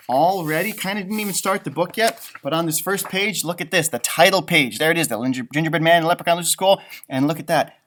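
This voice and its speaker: A man reading a picture book aloud to young children as a teacher, with expressive asides and questions to his listeners. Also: fairly high pitch, a little hiss or hum. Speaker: elementary school teacher reading storybooks aloud.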